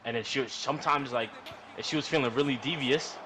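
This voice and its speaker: A man rambles through a casual, profane story, slangy and animated, often repeating himself. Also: mid-range voice, quick pace. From New York. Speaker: podcast storyteller. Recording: noisy room recording.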